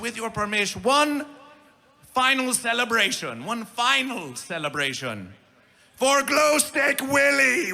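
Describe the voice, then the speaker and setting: High, gravelly, bright festival emcee man. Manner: theatrical, mangled grammar, chanted and shouted callouts. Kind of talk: live stage hype announcing. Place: festival stage.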